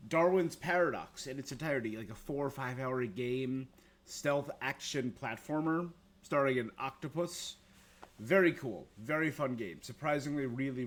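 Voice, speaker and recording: A man hosting a gaming livestream, chatting casually and informally with his viewers in a laid-back way. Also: mid-range voice. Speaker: game streamer, host.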